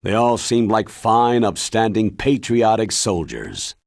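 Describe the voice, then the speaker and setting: A man playing a grave, authoritative military commander in a video game, briefing in a deliberate, emphatic way with a husky voice. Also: on the low side, big pitch swings, darkish tone, dry recording, clean studio sound, heavily acted. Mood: measured, explanatory. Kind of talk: video game cutscene acting.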